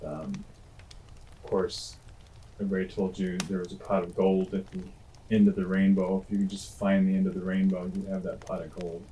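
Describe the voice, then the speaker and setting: A man hosting a storytelling show, reminiscing casually in a halting, unhurried, rambling way. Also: deep voice, completely flat delivery, warm tone, a little hiss or hum, poor audio. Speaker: host and storyteller.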